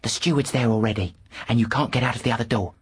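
A woman voicing a small, anxious male animal spirit in an audiobook drama, scolding urgently in a breathy whisper. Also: a bass voice, quick pace, dry recording, studio quality, heavily acted. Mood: anxious urgency.

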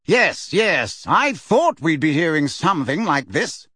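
A man's voice: a little high, very animated, a little slow, bright, forceful tone, breathy edge, dry recording, studio quality, voice pushed up, full character voice. A man reading aloud, voicing a pompous middle-aged male official who talks in a testy, fussy way.

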